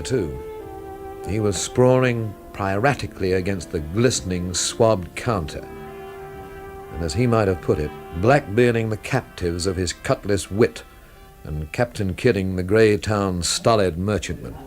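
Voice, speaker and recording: Low-voiced, wry world-weary investigator. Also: male voice, animated, normal speed, a little mellow, faint music behind. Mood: wry reflection. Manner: dry, literary, laconic. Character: wry, world-weary male investigator narrating his own inquiry. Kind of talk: radio drama narration and dialogue.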